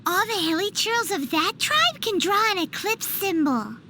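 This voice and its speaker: A woman voicing a tiny, childlike, cheerful and greedy fairy companion in a video game, squeaky and exaggeratedly cutesy. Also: very animated, unhurried, bright, forceful tone, slight background noise, full character voice. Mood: chatty, explaining. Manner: refers to self in third person, exaggerated cutesy delivery.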